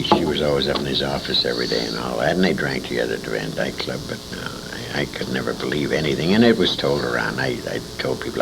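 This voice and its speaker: An older American man recounts anecdotes in an interview with salty, emphatic phrasing. His voice is deep and creaky, leaping widely in pitch as he tells the tale. The recording has faint noise behind him. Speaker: interview guest, old-time radio veteran. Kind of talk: animated anecdotal storytelling.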